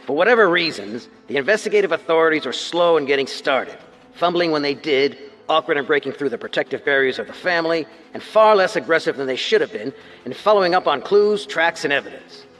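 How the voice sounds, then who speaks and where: A man's voice: a little high, animated, brisk, fairly bright tone, in a large hall, soft background music. A man playing an indignant, hard-hitting newspaper editor, reading an editorial in a radio drama. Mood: accusing.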